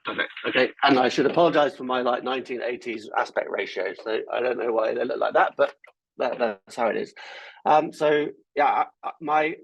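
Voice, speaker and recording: A man's voice: medium pitch, a little fast, gravelly, husky, no echo, lo-fi sound. A man giving a guest academic talk over a video call, informal and a little self-deprecating. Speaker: guest speaker. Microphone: computer mic on a video call.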